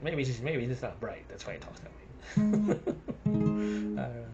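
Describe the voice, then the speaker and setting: A man's voice: on the high side, very animated, unhurried, lo-fi sound, loud music behind the voice. A man with a South African accent rambling through a casual monologue, chuckling between his thoughts.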